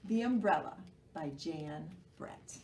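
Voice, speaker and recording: An American woman presenting a children's storytime in an animated way, explaining simply and asking young children questions. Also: deep voice, slow pace, creaky. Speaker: children's library storytime host. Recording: roomy, distant microphone, faint noise.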